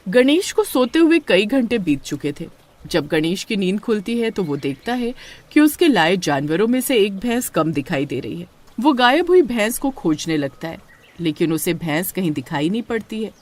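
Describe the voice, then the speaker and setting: A woman's voice: medium pitch, big pitch swings, normal speed. A woman reading scripted horror story narration as the storyteller.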